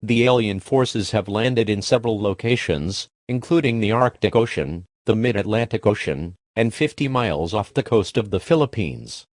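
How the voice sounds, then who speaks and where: A man voicing a calm government emergency announcer reading alerts in a steady, formal way. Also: on the low side, normal speed, few pauses, no room sound, studio quality.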